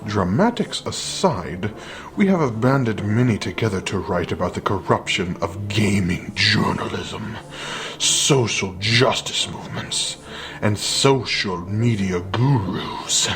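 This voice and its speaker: A man's voice: fairly low pitch, animated, takes it slowly. A man giving a dramatic reading of a manifesto, with choral music behind. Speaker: reader. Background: dramatic orchestral choral music.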